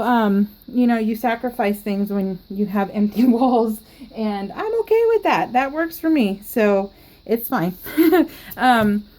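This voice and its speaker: A woman's voice: fairly high pitch, darkish tone. A woman chatting casually in a crafting vlog, rambling and laughing often as she talks. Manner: giggly and rambling, with frequent laughs and restarts. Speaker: planner crafting vlogger.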